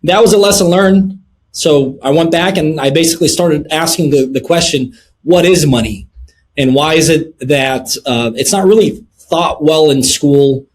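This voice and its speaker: Middle-aged man, guest in an interview, measured delivery, frequent fillers, voice sits a bit high. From Lebanon. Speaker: guest, precious metals dealer. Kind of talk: interview answers.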